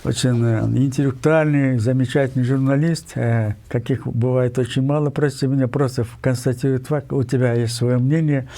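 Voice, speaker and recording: An older man from Kyrgyzstan, a writer giving an interview, talking insistently with many digressions, pauses and fillers. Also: mid-range voice, steady tone, dark tone. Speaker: guest writer. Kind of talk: emphatic interview talk.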